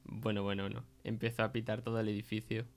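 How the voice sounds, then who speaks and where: A man's voice: fairly low pitch, level tone, fast, heavy background noise, natural voice. An actor playing a rattled, defensive young man recounting a creepy stalker in hesitant, slangy Castilian Spanish. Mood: rattled, insistent. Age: young adult. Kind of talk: conversational interview in an audio fiction podcast.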